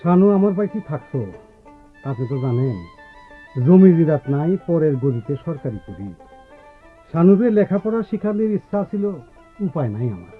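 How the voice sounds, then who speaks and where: An actor playing a deferential, coaxing middle-aged village in-law in a radio drama, speaking with a rural Bangladeshi accent. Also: male voice, on the high side, lively intonation, moderate pace, long pauses, close to an everyday voice. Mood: humble, persuasive. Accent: rural Bangladeshi Bengali. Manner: polite, coaxing, folksy. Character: deferential, persuasive village in-law seeking a favour. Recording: tinny narrow-band radio recording with music.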